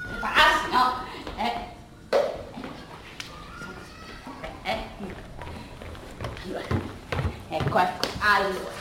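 A woman acting a monologue as a flustered, anxious art restorer who addresses an audience haltingly, stammering, repeating and correcting herself. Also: a little high. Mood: self-conscious. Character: flustered, anxious art restorer, mentally ill man's daughter. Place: performance space with an audience. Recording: very echoey distant live recording, faint noise.

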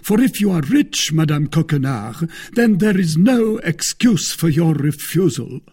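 A man acts out a proud musketeer from an adventure storybook, declaiming with pompous, wounded grandeur. The voice sits fairly high and swings widely, with a husky, rough edge. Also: animated, unhurried, dark tone. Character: proud, melodramatically wounded male musketeer, scheming and flattering.

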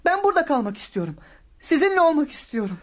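An actor voicing an eager, innocent young shepherd child in a radio play, earnest and excitable. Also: high voice, fast talker. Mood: pleading. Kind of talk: radio theatre acting.

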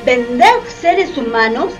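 An imperious queen of England in radio theatre. The voice is high and unhurried, declaiming with haughty theatrical weight, with music underneath. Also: sing-song, full character voice. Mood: scandalized. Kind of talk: community radio theatre acting. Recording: music bed behind the voice.

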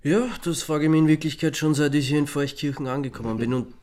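An actor playing a wary, reluctant visitor with an Austrian accent, guarded, dry and hesitant in a radio drama. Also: male voice, fairly high pitch, fairly even intonation, fast, darkish tone, natural voice. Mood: puzzled, dry. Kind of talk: German-language radio drama acting.

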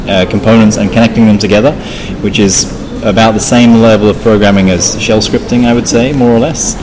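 A man being interviewed as a software developer, explaining technical things informally, step by step with frequent restarts. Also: on the low side. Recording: clearly noisy background.

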